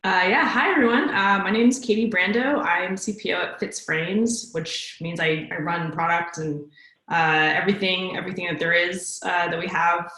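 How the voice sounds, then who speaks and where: A woman's voice: lowish voice, moderate pace, sharp tone. A woman introducing herself as a webinar panelist. She sounds upbeat and eager. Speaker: panelist, product executive. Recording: echoey room.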